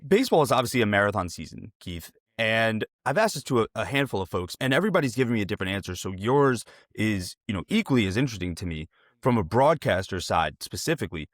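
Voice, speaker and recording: A man's voice: lowish voice, very animated, fast talker, no echo, clean studio sound. A man hosting a sports show, chatting casually as he interviews a guest.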